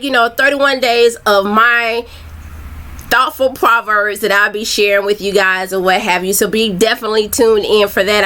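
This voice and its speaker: A bright, clear-voiced middle-aged woman talks casually to her viewers. She rambles in a loose, friendly way, full of ums and fresh starts. She sounds like a self-esteem coach going live. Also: a little high. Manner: loose and chatty, many ums and restarts. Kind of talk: casual live video chat.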